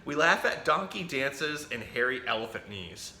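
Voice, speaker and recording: A man hosting a children's storytime, reading a picture book aloud in a playful, expressive way and talking directly to young listeners. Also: mid-range voice, normal speed. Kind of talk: animated read-aloud of a children's picture book with chatty asides to a pet parrot. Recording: roomy echo, faint background noise.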